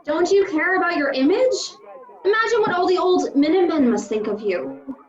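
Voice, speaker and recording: Actress as exasperated adult daughter, high pitch, animated, reproachful, echoey room, dull lo-fi audio. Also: female voice, moderate pace. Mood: indignant. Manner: sullen, reproachful. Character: exasperated, indignant adult daughter of retired heroine. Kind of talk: dramatic fan-made audio acting. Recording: roomy, dull home call audio.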